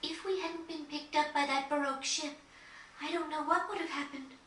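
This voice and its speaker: A woman playing a gentle, polite and earnest young teenage girl in a video game, speaking courteously. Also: sits high, steady tone, a little fast, echoey room, recorded from a distance, slight background noise. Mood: grateful, subdued. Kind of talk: video game cutscene acting.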